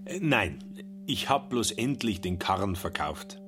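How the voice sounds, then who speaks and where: A man's voice: lowish voice, big pitch swings, a little slow, music in the background. An actor playing an evasive, petty-bourgeois man with an offhand, colloquial manner in a radio play. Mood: sheepish, offhand.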